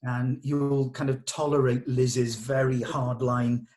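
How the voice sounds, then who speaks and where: A man leads a relaxed script discussion at a table read. He explains things conversationally and hands out direction in an ordinary mid-pitched male voice. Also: takes it slowly. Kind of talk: casual script discussion with actors.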